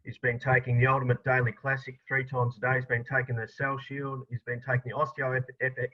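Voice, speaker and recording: An Australian man calling in to an online Q&A, explaining his question in a halting, hesitant way over a dull connection. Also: mid-range voice, completely flat delivery. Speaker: caller. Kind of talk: asking a question in a live online Q&A.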